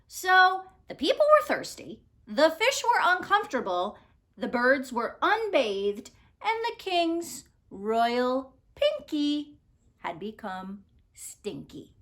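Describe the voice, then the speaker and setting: Slow, animated woman reading a storybook. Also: high-pitched. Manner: expressive, theatrical, deliberate phrasing. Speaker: storybook reader. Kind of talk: animated read-aloud of a picture book for children.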